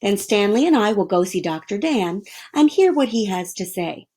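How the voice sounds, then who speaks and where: A woman voicing a polite, brisk storybook mother who takes charge in a proper, decisive way. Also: mid-range voice, animated, a little mellow, husky. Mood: practical.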